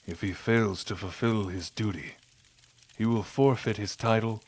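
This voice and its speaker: A man voicing an aging, weary, solemn king in an audio drama, husky and breathy, dropping to a half-whisper as phrases end. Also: on the low side, fairly even intonation, pitched above the performer's own voice. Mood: solemn, resolute. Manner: slow, grave, deliberate. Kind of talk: audio drama character acting.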